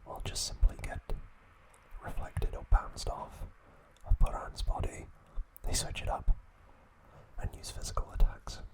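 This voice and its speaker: Guy doing asmr, all whispered, super slow, hushed little phrases w pauses. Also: poor audio. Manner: hushed, unhurried, pausing between short phrases. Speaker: ASMR video host. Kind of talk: whispered ASMR trivia recap.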